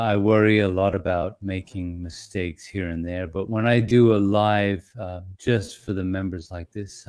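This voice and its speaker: A man hosting an investing channel, talking in a gentle, reassuring and slightly apologetic way to his members, with small fillers as he thinks aloud. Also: lowish voice, smooth, dry recording, studio quality. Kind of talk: casual live-stream talk to members.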